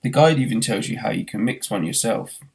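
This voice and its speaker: Expressive man reading with a gravelly husky edge. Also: on the low side, brisk. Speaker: reader, schoolteacher. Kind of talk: scripted story narration.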